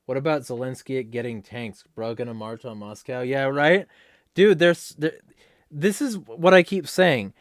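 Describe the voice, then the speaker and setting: A man's voice: a little slow, no echo, clean studio sound. A man hosting a casual livestream, rambling and swearing as he reads chat. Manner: profane, rambling, breaks into low, quiet, menacing voice. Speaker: livestream host reading chat.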